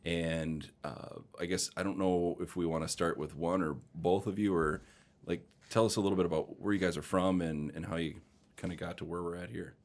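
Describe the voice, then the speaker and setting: A man in his thirties from Minnesota hosts a podcast interview. His voice is very deep. He asks questions haltingly, with frequent pauses and restarts. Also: steady tone. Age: 30s. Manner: warm-up questions with hesitations. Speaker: host.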